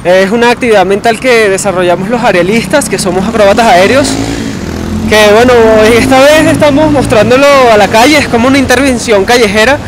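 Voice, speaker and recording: A young man from Venezuela, an aerial acrobat, giving thoughtful, measured answers on a street corner. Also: very high voice, background noise. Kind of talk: casual interview answers. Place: street corner outdoors by traffic.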